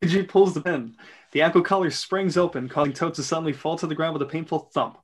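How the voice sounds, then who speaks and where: A man's voice: fairly high pitch, quick pace, noisy background. A man reading stage directions as narrator in an amateur script reading.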